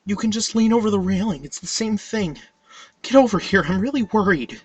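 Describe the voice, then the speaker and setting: A man's voice: sits high, animated, fairly quick, husky, pitched above the performer's own voice. A man voicing an anxious, easily alarmed schoolgirl in urgent exclamations for a horror story reading. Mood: panicked, urgent.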